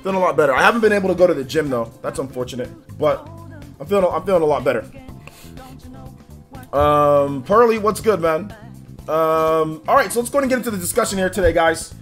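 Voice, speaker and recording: Male streamer, high voice, upbeat rapid shout-outs, slightly stuffy nose, faint music behind. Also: lively intonation. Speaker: livestream host. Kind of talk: casual livestream chat, reading out viewer names.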